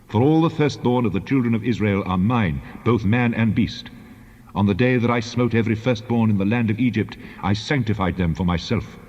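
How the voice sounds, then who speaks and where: A man performs a solemn male deity in a dramatized scripture recording, issuing commands in a measured, declamatory way. The voice sits low and rings in a long hall reverb. The sound is somewhat dull and lo-fi. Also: a little mellow. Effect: heavy reverb on the voice.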